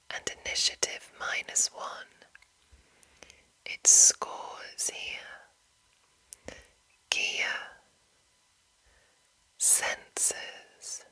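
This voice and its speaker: A woman whispering in a breathy, hushed and deliberate way, reading aloud in an ASMR style. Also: poor audio. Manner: slow, hushed, deliberate phrasing. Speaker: host of a whispered ASMR channel. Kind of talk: whispered ASMR reading of a game manual with casual asides.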